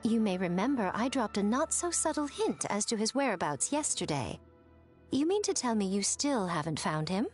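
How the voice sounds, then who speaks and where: A woman's voice: medium pitch, very animated, normal speed, a little mellow, natural voice. A woman playing a sly, teasing shrine priestess. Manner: playful, teasing, silky and knowing. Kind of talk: game cutscene voice acting. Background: faint game music.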